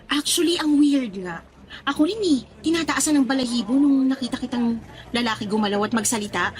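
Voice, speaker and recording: A woman's voice: sits high, brisk, lightly acted. A woman playing a chatty, curious young Filipina friend in a radio drama, teasing and full of exclamations. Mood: incredulous. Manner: animated, reacting with exclamations and questions. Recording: music playing under the voice.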